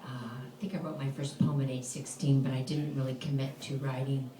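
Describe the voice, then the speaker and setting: A woman's voice: rumbling low, flat delivery, brisk, dark tone. A woman actor and poet chatting casually on stage between pieces, rambling with many fillers. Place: lounge bar stage. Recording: roomy, distant live venue recording.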